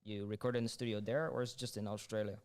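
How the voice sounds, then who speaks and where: A man's voice: lowish voice, flat delivery, fast, darkish tone, clean tone. A man hosting a student podcast interview, casual and hesitant, with lots of "like" and "uh". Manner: hesitant, many "like" and "uh" fillers.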